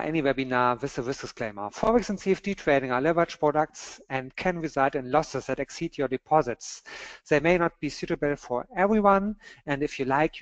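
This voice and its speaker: A man from Germany with a German accent, whose first language is German, opening an online webinar as co-moderator in friendly, halting English. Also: on the high side, dry recording. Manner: friendly, halting non-native phrasing. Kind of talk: webinar introduction. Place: home or office in Berlin. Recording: dull, dry online webinar audio.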